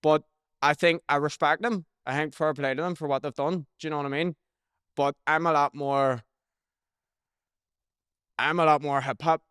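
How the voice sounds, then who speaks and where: A man's voice: a little high, steady tone, moderate pace, pauses often, fairly bright tone, clear, resonant tone, no echo, studio quality. A young man with a Belfast accent chatting as a podcast guest, swearing freely and often checking "do you know what I mean". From Northern Ireland. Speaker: rapper guest. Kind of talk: casual podcast chat.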